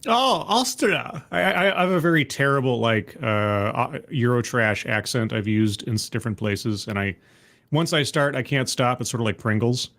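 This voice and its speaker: A man's voice: mid-range voice, moderate pace, vocal fry, fairly bright tone. Sardonic man reacting on a stream. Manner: sardonic, rambling, with many restarts. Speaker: streamer commenting on a video. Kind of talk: casual reaction commentary.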